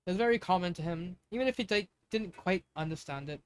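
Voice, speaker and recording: A man reads stories aloud in a casual, unpolished style. His voice sits at an ordinary pitch, and he speaks informally, halting and correcting his own slips as he moves along. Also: clear, resonant tone. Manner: informal, halting, stumbles over words and corrects himself. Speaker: fanfiction reader, channel host. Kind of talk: casual read-aloud of fanfiction with off-script asides.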